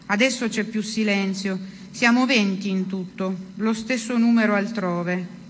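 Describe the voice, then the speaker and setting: A woman's voice: medium pitch, fairly even intonation, a little slow, a little hiss or hum. A woman giving a dramatic literary reading of first-person prose. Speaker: reader of a literary text.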